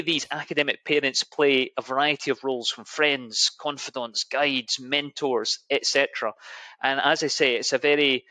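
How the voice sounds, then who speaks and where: A man's voice: medium pitch, bright, forceful tone, no echo. A man with a Scottish accent, an admissions officer answering interview questions with enthusiasm and frequent restarts. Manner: enthusiastic, hesitant with many restarts.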